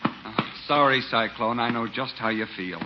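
An actor playing an exasperated, dryly sarcastic riding instructor in a 1950s radio sitcom. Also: male voice, medium pitch, moderate pace, lightly acted. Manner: dry, sarcastic. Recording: noisy, muffled 1950s radio recording.

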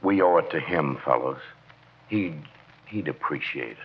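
An actor playing an earnest, touchy small-town police chief in a 1940s radio comedy, plain and a little defensive, on a muffled broadcast. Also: male voice, rumbling low, expressive, unhurried.

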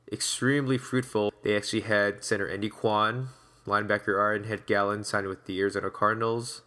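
A man's voice: on the low side, pushed and bright. A man hosting a sports podcast, talking in a casual, upbeat, conversational way. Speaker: podcast host and interviewer.

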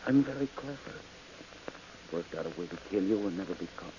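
Low-voiced, coldly murderous husband. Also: male voice. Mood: cold menace. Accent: American. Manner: calm, menacing, deliberate. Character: cold, smug husband threatening to kill his wife. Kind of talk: 1940s radio acting. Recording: muffled, noisy old radio recording.

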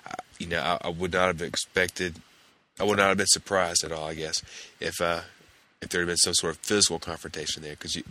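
A male podcast host recapping a TV episode in a casual, hesitant way, his voice hoarse and gravelly from a cold. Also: low-pitched, level tone, brisk, pushed and bright. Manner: hesitant, many fillers and restarts.

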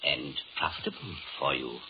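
Suave male devil, low swooping voice, formal menace, muffled scratchy vintage radio drama. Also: big pitch swings. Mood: silky menace. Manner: formal, deliberate, menacingly polite. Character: sinister, suave, all-knowing male devil calling in his due. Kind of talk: 1930s radio horror acting.

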